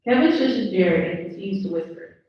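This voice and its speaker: A woman's voice: mid-range voice, lively intonation, brisk. A woman reading stage directions as the narrator of a live script table read. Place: live event in a room. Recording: very echoey room, distant, slightly dull.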